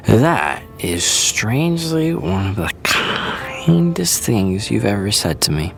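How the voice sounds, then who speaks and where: Actor doing a laid-back teasing friend, very deep, slow, and gravelly, with whispery asides. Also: male voice, very animated, fairly bright tone, soft background music, full character voice. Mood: warm. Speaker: actor in audio drama.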